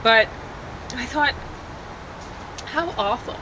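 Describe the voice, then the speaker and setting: A woman's voice: lively intonation, slow. A woman chatting casually, hesitant and rambling, often trailing off mid-thought. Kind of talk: casual chat. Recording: constant traffic and outdoor rumble noise.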